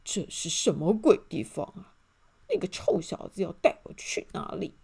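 A woman playing a rude, arrogant rich man in a children's story, gruff, scornful and bellowing. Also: lowish voice, big pitch swings, moderate pace, a little mellow, heavily acted. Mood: grumpy.

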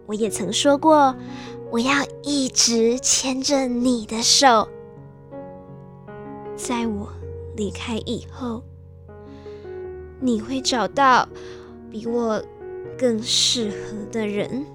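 Actress as sad devoted young woman, slow tender highish voice, radio drama with music behind. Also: steady tone. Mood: longing, grieving. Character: devoted heartbroken young woman saying farewell to lover.

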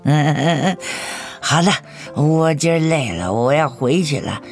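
A woman's voice: low-pitched, animated, slow, soft background music, heavily acted. An actress playing a doting, encouraging grandmother in her nineties in a Mandarin radio drama, with sighing, chuckling elderly speech. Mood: tender, hopeful. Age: 90s.

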